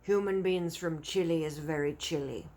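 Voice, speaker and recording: Woman putting on a loud, bellowing brutish giant. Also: sits low, normal speed, close to an everyday voice. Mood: gloating, boastful. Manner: roaring, shouting, gloating with mangled grammar. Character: brutish, bellowing man-eating giant, male bully.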